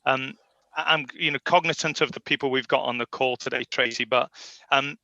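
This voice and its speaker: A man's voice: mid-range voice, fairly even intonation, fairly quick, pushed and bright, no room sound, dull audio. A man from Liverpool speaking informally as a guest, telling his own story in a chatty, self-deprecating way. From Liverpool, England. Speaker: guest speaker, industry professional. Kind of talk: informal personal career story.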